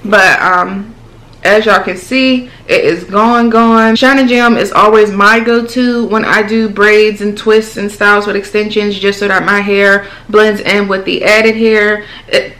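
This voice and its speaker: A woman's voice: medium pitch, measured pace, poor audio. A woman in her twenties, a beauty vlogger chatting casually about products, her voice altered while she recovers from throat surgery. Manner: chatty and animated, calls viewers y'all and girl. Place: small room indoors with product shelves.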